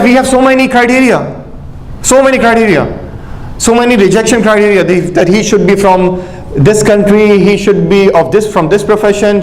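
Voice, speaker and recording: A man's voice: high-pitched, low-quality recording. A middle-aged man from Pakistan, giving an informal religious lecture as an imam in a mosque, conversational with humorous examples and frequent restarts. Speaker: imam leading a marriage workshop. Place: mosque hall.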